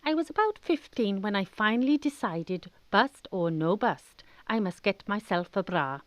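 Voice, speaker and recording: Animated Welsh woman telling a story. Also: medium pitch, moderate pace, dry recording. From Pembrokeshire, Wales. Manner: colloquial, wry. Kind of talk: scripted comic narration, radio drama.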